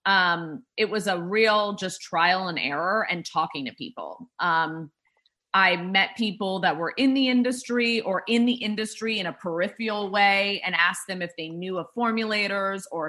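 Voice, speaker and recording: A woman's voice: mid-range voice, normal speed, bright, forceful tone. A woman who founded a drink startup, talking in a casual interview, rambling with many fillers and restarts.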